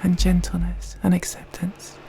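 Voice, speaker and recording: Soft, mellow woman guiding meditation. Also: on the low side, completely flat delivery, vocal fry. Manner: gentle, slow, soothing, with long pauses. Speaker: meditation guide. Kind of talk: guided meditation narration. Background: soft meditation music.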